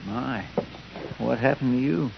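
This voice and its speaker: An actor playing a meek, loyal, folksy American deputy, hesitant and deferential, in an old radio western. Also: male voice, mid-range voice, unhurried, creaky. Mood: timid, apologetic. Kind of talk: 1950s radio western acting. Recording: muffled, hissy old radio recording.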